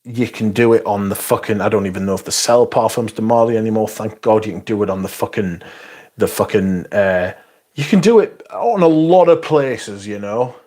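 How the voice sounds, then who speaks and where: A man's voice: lowish voice, normal speed, a little mellow. A man hosting a livestream, reading out chat and bantering in a sweary, sarcastic way.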